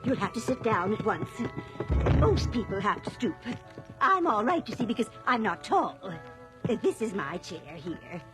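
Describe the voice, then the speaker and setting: A woman's voice: medium pitch, very animated, normal speed, music in the background, lightly acted. An actress playing a sweet, chatty, forgetful elderly village lady in a radio drama, rambling cheerfully. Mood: welcoming, fussing. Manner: rambling, fluttery, cheerful hostess.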